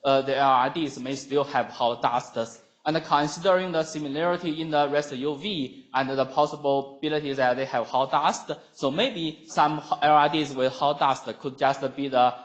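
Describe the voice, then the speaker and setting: A man who speaks English as a second language, giving a scientific conference talk in a halting manner with non-native phrasing. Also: mid-range voice, poor audio. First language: not English. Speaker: researcher presenting at a conference. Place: lecture room at a conference.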